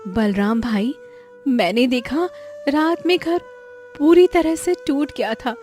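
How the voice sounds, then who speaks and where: A woman's voice: high voice, slow, darkish tone, soft background music. A woman acting a kindly, worried village neighbour in a cartoon moral story, grave and concerned. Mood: worried, relieved.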